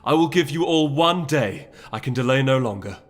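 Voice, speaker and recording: A man's voice: medium pitch, expressive, normal speed, natural voice. A stern royal mare of a fantasy storybook, acted out by a man. She speaks formally and imperiously, with weary authority, over background music. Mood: commanding anger. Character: regal mare princess, stern, weary, commanding.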